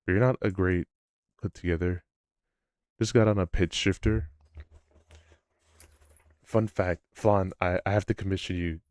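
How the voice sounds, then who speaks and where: A man with a low voice chatting casually on a live stream, rambling and self-deprecating. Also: steady tone, a little slow, long pauses, a little mellow, dry recording, clean studio sound. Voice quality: low-pitched voice. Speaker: livestreamer. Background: background music.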